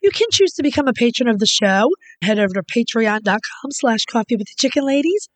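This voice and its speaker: A woman co-hosting a podcast in casual chat, hoarse while recovering from illness, matter-of-fact with dry humor. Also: on the high side, expressive, fast talker. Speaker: podcast co-host. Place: small home studio, at a table.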